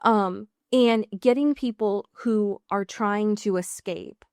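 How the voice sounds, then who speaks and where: A woman co-hosts a casual recap podcast at a middling pitch. She talks informally and wryly, swearing freely. The sound is dry, with no room echo. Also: slow, a little mellow, smooth. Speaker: podcast co-host.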